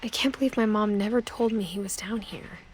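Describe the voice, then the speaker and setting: A woman playing a thoughtful young woman, narrating a game in a quiet, understated, reflective voice-over. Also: mid-range voice, brisk, slight background noise, close to an everyday voice. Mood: pensive, hurt. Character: thoughtful young woman uncovering her family's secrets.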